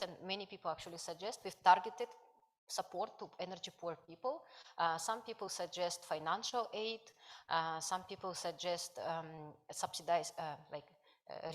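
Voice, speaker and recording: A woman from Kazakhstan, presenting research at a conference, speaking hesitantly with many fillers. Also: fairly low pitch. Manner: hesitant, many fillers, non-native grammar. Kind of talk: academic conference talk.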